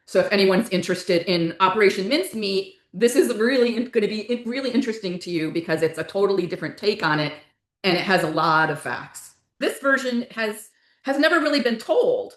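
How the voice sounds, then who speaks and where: A woman's voice: medium pitch, animated, a little fast, a bit bright. A woman speaking casually as an interview guest, explaining her creative work in a rambling way that backtracks mid-sentence. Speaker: guest, author and playwright. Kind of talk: casual interview talk about own work. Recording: roomy, echoey indoor sound.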